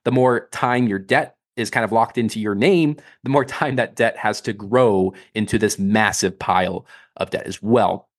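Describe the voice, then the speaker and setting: A man's voice: lowish voice, normal speed. A man co-hosting a podcast, explaining in a loose, casual way full of fillers. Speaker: podcast co-host. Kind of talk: casual chat.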